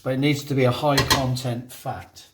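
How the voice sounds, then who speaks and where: A man's voice: mid-range voice, flat delivery, moderate pace, very noisy. A man demonstrating a dessert in a kitchen, talking through each step with jokes. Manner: chatty, jokey, narrates each step. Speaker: guest cook. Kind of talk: cooking demonstration chat.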